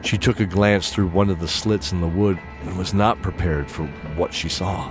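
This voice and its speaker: Loud music runs beneath a man with a low-pitched voice. He narrates a fantasy audio drama theatrically, phrasing deliberately and pausing for weight. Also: fairly even intonation.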